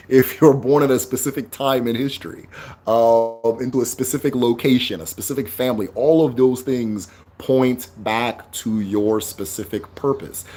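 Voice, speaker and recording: A man hosting a livestream, explaining ideas in a loud, emphatic voice and checking in with a frequent "right?". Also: medium pitch, husky. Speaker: livestream host teaching spirituality. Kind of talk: casual livestream lecture.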